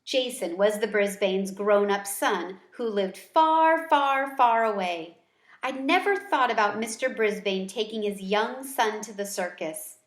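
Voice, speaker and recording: A woman reading a children's book aloud in an expressive storytelling style. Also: medium pitch, unhurried, echoey room. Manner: expressive, acting out character dialogue.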